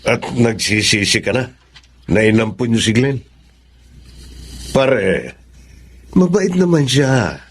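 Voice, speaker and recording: A man's voice: medium pitch, animated, slow, pauses often, slight background noise. An actor in a radio drama playing a frank, caring godfather who speaks earnestly with some reproach. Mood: earnest, reproachful. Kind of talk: Tagalog radio drama acting.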